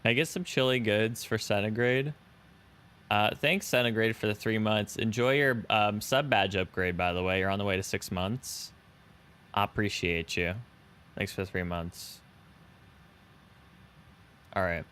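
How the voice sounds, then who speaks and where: A man's voice: fairly low pitch, unhurried, pauses often, fairly bright tone, clean tone. A young man streaming a flight simulator, chatting casually with viewers and thanking subscribers. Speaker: live streamer. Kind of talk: casual live-stream chat while flying a simulator.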